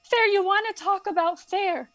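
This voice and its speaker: A woman's voice: very high-pitched, moderate pace, a bit bright, no echo, muffled recording. A woman playing a hurt, resentful wife in a radio drama, reproachful and emotionally strained. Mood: angry, reproachful.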